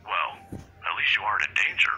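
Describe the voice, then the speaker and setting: A man playing a caring, grieving professor in a visual novel, speaking in a measured, gentle, solemn way. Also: sits low, slight background noise, poor audio. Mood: concerned. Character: caring older male professor, grieving widower.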